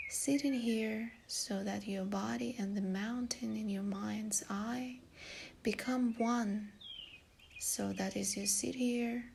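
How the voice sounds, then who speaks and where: Woman doing guided meditation, really slow and soft, bit of vocal fry, faint noise behind. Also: medium pitch.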